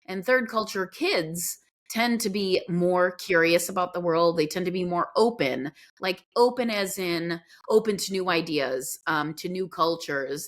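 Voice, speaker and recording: A woman, a podcast guest who grew up in Guyana and Pakistan, talking in a casual, expressive storytelling way. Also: fairly low pitch. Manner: expressive storytelling, acts out quoted reactions. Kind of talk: casual conversational interview.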